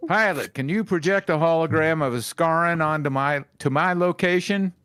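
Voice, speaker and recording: Man acting out a stern, threatening protective man, higher-pitched. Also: normal speed, fairly bright tone, clean tone, dry recording, studio quality, lightly acted. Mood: commanding. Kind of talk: fan fiction read in character.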